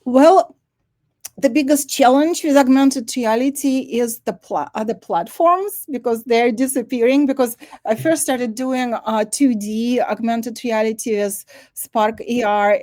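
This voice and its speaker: A woman artist presenting her work at an online demo day, speaking reflectively with many pauses and fillers. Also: a little high, darkish tone, dry recording.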